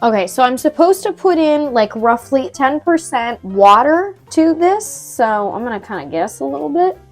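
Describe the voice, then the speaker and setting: A woman's voice: high voice, expressive, normal speed, faint music behind. Young female DIY vlogger, filler-heavy casual chatter, dry cough, recorded at home. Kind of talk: casual chat and talk-through of a DIY task. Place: home indoors.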